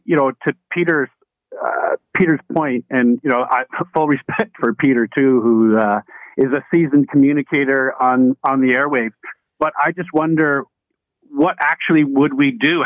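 A man speaks as a guest on a radio panel, measured and hedging as he works through his point. He comes through a narrow, phone-like line with a dry sound. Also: mid-range voice, dry recording. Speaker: author and teacher guest.

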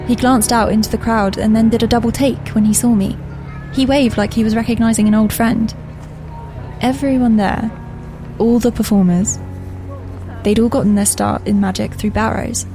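A woman's voice: fairly high pitch, warm tone, natural voice. A woman playing an earnest, reflective young shop assistant who narrates her story in a confiding way in an audio drama. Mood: wistful wonder. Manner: confiding, introspective. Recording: music under the voice.

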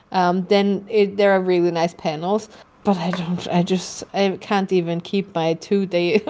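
A woman's voice: mid-range voice, darkish tone. A woman with an Austrian accent, co-hosting a casual podcast chat, rambling with restarts and the odd swear word. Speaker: podcast co-host.

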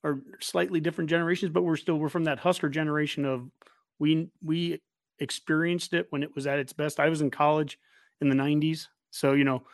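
Higher-voiced American man hosting, dry sound. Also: fairly even intonation, fairly quick, dry recording. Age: 40s. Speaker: host of a sports fan show. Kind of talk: casual interview chat.

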